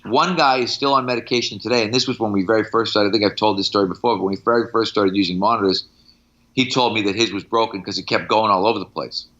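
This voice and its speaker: A man's voice: lowish voice, quick pace, fairly bright tone, dull audio. A middle-aged man, a strength coach, talking casually on a podcast in anecdotes and examples, with firm opinions.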